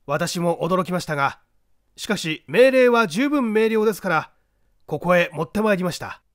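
Dutiful army sergeant major, acted by a male reader, polite formal report, raised pitch, audiobook story. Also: animated, moderate pace, no echo, clean studio sound. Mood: earnest reporting.

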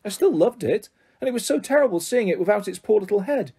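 A woman's voice: mid-range voice, big pitch swings, brisk, warm tone, slightly rough, pitched above the performer's own voice. A woman voicing a stubborn, pleading, emotional young girl in a storybook. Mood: upset, shaky.

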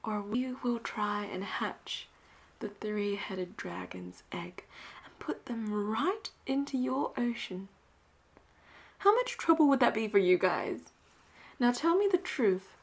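Woman acting as a flirty bossy female pirate captain, roleplay audio, slow teasing delivery, breathy and kinda whispery, very sure of herself. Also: mid-range voice, animated, heavily acted. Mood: suspicious, commanding. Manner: teasing, breathy, often dropping toward a whisper. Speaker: voice actor.

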